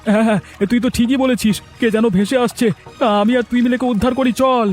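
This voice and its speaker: Man acting as village farmer, anxious, helpful, rapid speech, cartoon dub, soft background music. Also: very high voice, steady tone. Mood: urgent, agitated. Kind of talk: dubbed cartoon dialogue.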